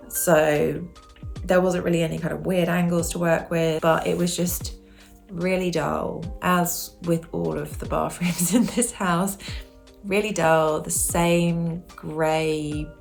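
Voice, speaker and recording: Croaky chatty British woman. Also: on the low side. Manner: rambling, self-correcting, chatty. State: croaky throat. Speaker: home decor vlogger. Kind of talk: casual chat to camera.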